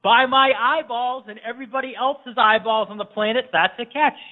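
A man's voice: very high voice, fairly even intonation, moderate pace. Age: adult. Sex: man voicing a smug, mock-formal male football fan guest. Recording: tinny phone-like line.

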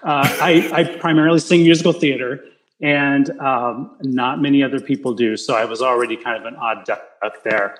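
A man with a naturally loud voice answering questions in a casual online interview. He talks in halting bursts, restarting phrases and filling pauses as he goes. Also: medium pitch, poor audio. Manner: halting, full of restarts and fillers. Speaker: interview guest. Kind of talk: casual video-call interview.

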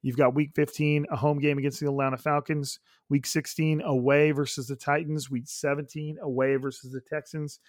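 A young man hosting a casual solo sports podcast, his voice a bit raspy and froggy, hoarse from yelling, rambling with many fillers and restarts. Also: fairly high pitch, flat delivery, normal speed, few pauses, no echo, clean studio sound. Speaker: podcast host.

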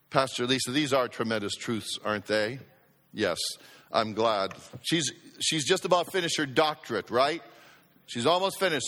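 A male pastor delivers his sermon slowly and deliberately, with a bright, forceful tone. His voice sits a little high for a man. Long reverb trails each phrase through a large church hall. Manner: emphatic preaching with call-and-response.

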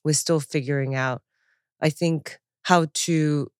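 A woman's voice: low voice, fairly even intonation, slow pace, clean tone, no echo, clean studio sound. A woman from Taiwan and Japan, a comedian guest chatting casually in an interview, laughing often and trailing off into fillers.

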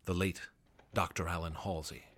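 An actor playing a grave male doctor narrating his testimony in a radio drama, measured and somber. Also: sits low, flat delivery, fairly bright tone, soft background music. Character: grave male doctor recounting horrors as a witness.

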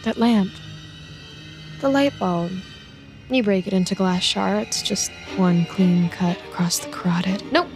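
A woman's voice: medium pitch, measured pace, lightly acted. An actress playing an anxious, twitchy young office worker in an audio drama, muttering and rambling nervously. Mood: uneasy muttering. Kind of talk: naturalistic audio drama acting. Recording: music underneath.

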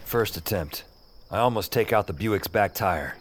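An actor playing a wry, deadpan novice magic user in an audio drama, both in dialogue and narrating his own story. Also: fairly low pitch, normal speed, very noisy, natural voice. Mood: dry narration.

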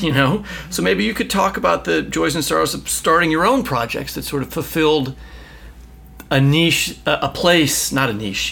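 A man's voice: fairly high pitch, gravelly, pushed and bright, husky. A man hosting a podcast, asking long, hesitant interview questions. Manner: hesitant, uses fillers and restarts. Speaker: podcast host.

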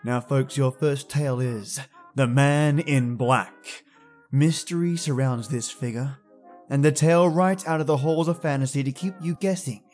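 A man's voice: mid-range voice, a little slow, darkish tone, soft background music. A man hosting a podcast, giving a playful, dramatic scripted introduction to old radio tales. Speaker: podcast host.

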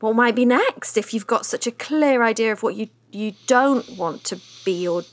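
An actress playing a patient, diplomatic business consultant in a comedy podcast, politely baffled and choosing words hesitantly, in a naturalistic style. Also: female voice, on the high side, expressive, moderate pace, close to an everyday voice. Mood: probing, baffled. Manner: tactful, hesitant, careful phrasing.

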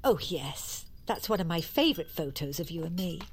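An actress playing a brisk, dismissive middle-aged English mother at a family dinner in a naturalistic audio drama. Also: lowish voice, sing-song, slight background noise. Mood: insistent, defensive. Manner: brisk, matter-of-fact, contradicting.

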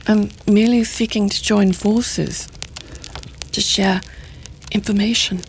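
An actress playing a sly, seductive middle-aged intelligence handler, teasing and insinuating in formal, deliberate diction. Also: female voice, medium pitch, steady tone, gravelly, a little hiss or hum, rough recording. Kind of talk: audio drama acting.

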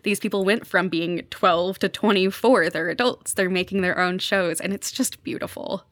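A woman's voice: fairly low pitch, fairly even intonation, moderate pace, a bit bright, no echo. Young woman, enthusiastic, dry close sound. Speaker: podcast guest, journalist covering fiction podcasts. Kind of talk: conversational interview commentary.

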